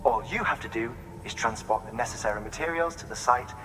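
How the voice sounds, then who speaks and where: An actor playing a cerebral, measured, eccentric male scientist in a video game, speaking precisely and formally. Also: mid-range voice, music in the background, lightly acted. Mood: earnest briefing. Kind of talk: video game cutscene acting.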